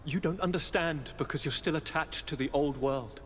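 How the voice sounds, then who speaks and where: Guy acting zealous young noble villain in a game, highish voice, theatrical, big echoey hall. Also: normal speed, slight background noise, lightly acted. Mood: impassioned, bitter. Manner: theatrical, impassioned, grandiose. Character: zealous young nobleman turned power-hungry would-be god. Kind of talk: dramatic video game cutscene acting. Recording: long hall reverb, faint ambience.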